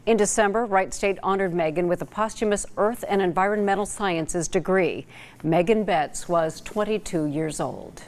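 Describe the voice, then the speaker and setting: A woman's voice: lowish voice, normal speed. A woman with an American accent reading scripted broadcast news as an anchor.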